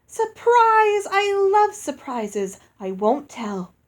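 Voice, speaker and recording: An excitable giantess mother from a storybook, acted out by a woman reader, pitched very high and pushed out loud. She gushes and exclaims over everyone like a delighted host, with big swoops in her delivery. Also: slow pace, full character voice. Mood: thrilled. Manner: gushing, exclamatory. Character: effusive, excitable giantess mother hosting a wedding.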